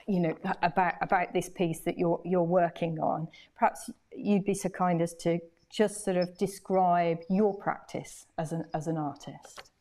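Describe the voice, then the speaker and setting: A woman's voice: lowish voice, fairly even intonation, moderate pace, a little mellow. A woman hosting an online interview, hesitant and repeating words as she frames her questions. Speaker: host.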